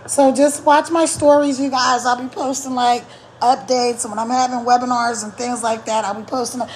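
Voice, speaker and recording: A middle-aged woman, a little hoarse, chatting casually on a live stream as an online marketing coach, emphatic and repeating her key points. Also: on the high side, steady tone, normal speed, slight background noise, poor audio.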